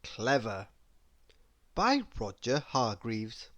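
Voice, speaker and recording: Slow, expressive woman reading a storybook. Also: very deep voice. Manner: expressive, addresses young listeners with questions. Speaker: storybook reader. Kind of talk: children's read-aloud narration.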